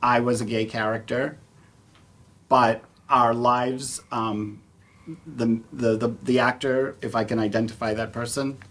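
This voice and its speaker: A man speaking as a theater panelist, sharing personal anecdotes in a halting way, often repeating words. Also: mid-range voice, flat delivery, a bit bright. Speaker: panelist, community theater actor. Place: public panel event, live audience. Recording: clear background room noise.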